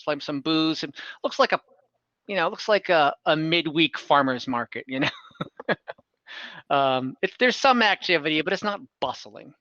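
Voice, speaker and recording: A man narrating a tabletop game as game master, casually improvising in a halting, informal way. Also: fairly high pitch, sharp tone, no room sound, lo-fi sound. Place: online tabletop game session from home.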